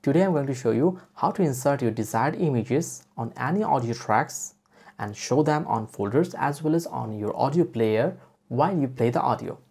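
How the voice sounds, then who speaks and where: Female tutorial presenter for screen-recording voice-over; very deep pitch, slightly husky, mellow. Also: animated, normal speed. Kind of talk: software tutorial narration.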